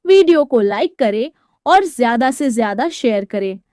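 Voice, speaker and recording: A woman's voice: a little high, animated, moderate pace, mellow, no room sound. A woman narrating a scripted story in a dramatic storytelling style, recorded as a close voice-over.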